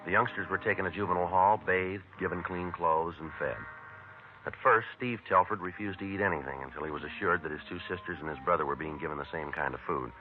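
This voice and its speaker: A terse police detective voiced by a man lays out the facts in a very low, clipped, matter-of-fact voice and moves briskly through the case. It comes through thin and phone-like, as in an old radio crime broadcast. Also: slight background noise, lightly acted. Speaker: detective and narrator. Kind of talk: 1950s radio crime drama. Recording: tinny vintage radio broadcast.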